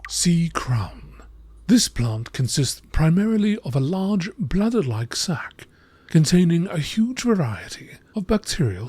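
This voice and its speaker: A man narrating scripted nature documentary entries in a measured, formal, deliberate way, with a husky, breathy voice. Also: a little high, darkish tone. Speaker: narrator reading game lore entries.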